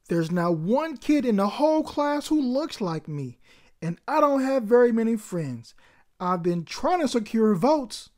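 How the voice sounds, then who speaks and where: An anxious schoolboy from a children's storybook, read by a woman. He sounds earnest, plaintive and unsure of himself, with an animated pitch.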